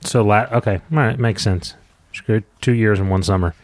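A man chatting casually as a podcast-hosting father, teasing in a dry, deadpan way. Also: on the low side, moderate pace. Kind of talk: casual, teasing chat with child.

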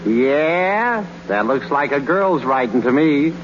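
An actor playing a skeptical, needling ballplayer in a 1930s radio comedy, speaking in a wry, colloquial way. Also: male voice, on the high side, lively intonation, slow. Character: skeptical, needling male ballplayer quizzing a teammate. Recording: noisy muffled 1930s radio recording.

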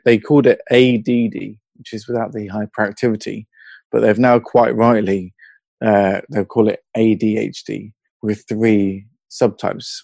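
A man's voice: lowish voice, vocal fry, a little mellow, no room sound. A man with a Hertfordshire accent, a podcast guest chatting casually who rambles from one thought to the next and laughs easily. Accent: Hertfordshire English. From England. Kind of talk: casual interview over a call.

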